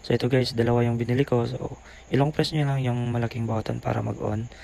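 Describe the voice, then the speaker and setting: A Filipino man narrates a casual review in a subdued, gravelly voice with fairly even intonation. He keeps his volume down, and a faint noise sits behind him. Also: mid-range voice, fast talker, low-quality recording. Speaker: product reviewer. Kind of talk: casual voice-over review mixing Tagalog and English. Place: boarding house room, voice-over.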